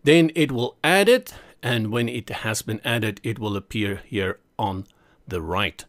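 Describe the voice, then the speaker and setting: A man's voice: fairly low pitch, measured pace, a bit bright. A man with a South African accent whose first language is Afrikaans, walking through a screen-recorded web design tutorial in a chatty way, correcting himself as he clicks through each step.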